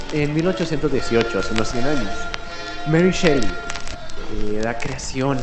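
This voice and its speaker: Reflective male late-night radio host, roomy echo. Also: mid-range voice. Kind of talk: late-night radio monologue in an old-time announcer persona. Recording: roomy echo with music behind. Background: music.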